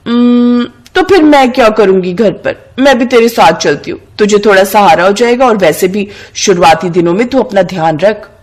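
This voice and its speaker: A woman's voice: mid-range voice, moderate pace, hardly any pauses, darkish tone, lightly acted. Woman voicing a pushy, scheming young city woman. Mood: teasing. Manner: pushy, scolding, persuasive. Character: cunning, greedy young city woman, bossy best friend. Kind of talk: cartoon moral story dialogue.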